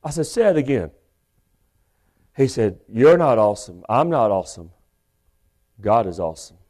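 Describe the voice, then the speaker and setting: A man, preaching a sermon from a church pulpit microphone in a big, loud voice with folksy asides. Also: medium pitch, big pitch swings, moderate pace. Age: 50s. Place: church sanctuary.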